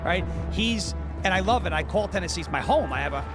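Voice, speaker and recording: A man's voice: sits high, animated, a little fast, music in the background. A man in his thirties from New York, casually and argumentatively discussing politics, often repeating himself. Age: 30s.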